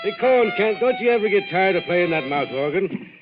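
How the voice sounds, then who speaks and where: An actor playing a breezy, rough-edged male bodyguard in 1940s radio drama style. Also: high voice, expressive, brisk. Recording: muffled old radio, loud music behind.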